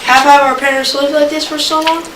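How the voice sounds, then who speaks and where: A woman's voice: high-pitched, flat delivery, normal speed, close to an everyday voice. Woman reading a determined college boy, echoey room. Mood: troubled. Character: troubled, determined college boy protesting segregation. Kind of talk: amateur reader's theater acting. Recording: echoey, distant mic, clear noise.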